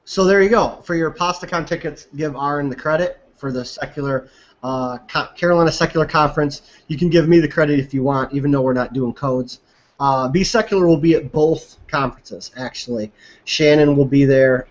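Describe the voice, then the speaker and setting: Mid-pitched man hosting a casual podcast. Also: muffled recording. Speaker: podcast host. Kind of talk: casual show introduction.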